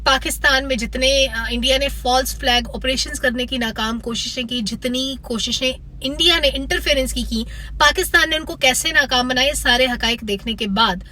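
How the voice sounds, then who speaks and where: A woman's voice: high-pitched, moderate pace, pushed and bright, slight background noise. A woman with a Pakistani accent giving a critical, opinionated spoken film review to viewers.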